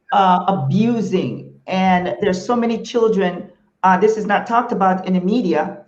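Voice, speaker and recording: Female talk show host, mid-pitched, emphatic and repetitive for stress, echoey muffled call sound. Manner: emphatic, repeats points for stress. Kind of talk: panel talk show discussion.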